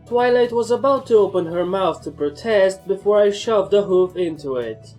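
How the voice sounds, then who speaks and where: A woman's voice: mid-range voice, expressive, moderate pace, a little mellow, soft background music. A woman reading first-person fan fiction as a scripted story narrator.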